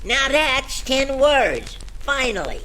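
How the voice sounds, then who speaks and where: A man's voice: extremely high pitch, big pitch swings, slow, bright, forceful tone, slight background noise, heavily acted, rough recording. A man playing a streetwise, unimpressed tough guy with a needling, slangy delivery in comic old-time radio detective style. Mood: pedantic, exasperated. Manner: needling, mocking, pedantic, slangy.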